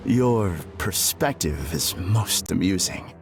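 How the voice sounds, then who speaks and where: A man playing a serene, formally polite villain in a video game, speaking with unnerving calm and courtesy. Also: lowish voice. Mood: amused condescension. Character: serene, polite male villain claiming perfected happiness.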